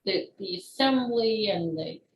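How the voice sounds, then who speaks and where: Slow-paced woman in an echoey room. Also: medium pitch, animated. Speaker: children's book author, interview guest. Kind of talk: explanatory interview talk. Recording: roomy echo, faint background noise.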